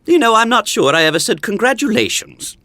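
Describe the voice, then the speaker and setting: An actress playing a probing, skeptical young friend in an audio drama, arch, pointed and mock-formal. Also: female voice, a little high, sing-song, moderate pace, a bit bright, no echo. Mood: sly, probing. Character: probing, sly, skeptical friend called Leo.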